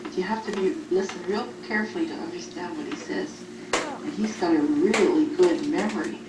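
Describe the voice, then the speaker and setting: A woman's voice: fairly low pitch, fairly quick. A woman talking as if in an audio letter to a child, explaining things in simple phrases, recorded on cassette. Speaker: group home care worker. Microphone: cassette recorder at a distance. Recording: roomy, noisy cassette tape from a distance.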